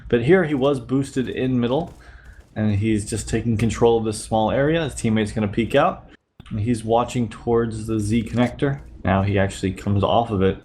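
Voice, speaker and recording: A man's voice: lowish voice, moderate pace, a little hiss or hum. A sick man with a slightly nasal voice, casually narrating gameplay footage as a channel host. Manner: relaxed play-by-play narration. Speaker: YouTube host reviewing gameplay.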